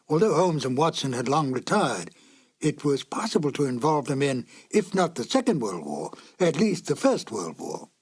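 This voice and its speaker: Higher-pitched man presenting classic radio drama. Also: a little mellow, husky. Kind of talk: scripted narration.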